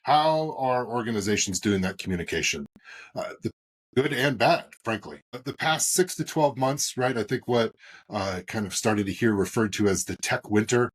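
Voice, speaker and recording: A man in his forties hosting a business podcast, talking in a rambling way with lots of fillers and animated emphasis. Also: medium pitch, normal speed, husky. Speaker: podcast host and moderator.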